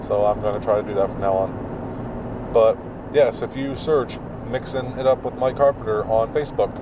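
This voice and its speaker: A man hosting his own podcast, with a deep, mumbling voice, rambling through plugs with lots of fillers while he drives. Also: moderate pace. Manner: rambling, filler-heavy, self-deprecating. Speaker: podcast host. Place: in a car while driving. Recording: distant mic with road noise.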